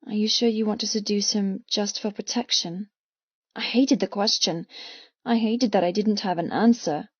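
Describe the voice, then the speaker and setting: A female audiobook narrator with a medium-pitched voice reads first-person fiction expressively and intensely. The recording is very dry and slightly muffled, with no room around the voice. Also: steady tone, moderate pace, breathy edge, no room sound. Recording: slightly muffled, dry close studio sound.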